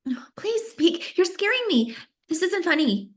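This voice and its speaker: A woman's voice: very high-pitched, sing-song, unhurried, heavily acted. A woman playing a young female pageant contestant in a screenplay table read. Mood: terrified.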